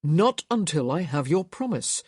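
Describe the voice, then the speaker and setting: A woman voicing a stern, proud young gentleman in an audiobook, speaking firmly with controlled, clipped delivery. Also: deep voice, big pitch swings, a little slow, slightly rough, no room sound, clean studio sound, exaggerated voice. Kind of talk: audiobook character voice.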